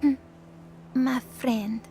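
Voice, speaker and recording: A woman playing a gentle, earnest young goddess in an audio drama, speaking in halting, simple phrases. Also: high-pitched, fairly even intonation, faint music behind. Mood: soft, reflective. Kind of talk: acted audio drama dialogue.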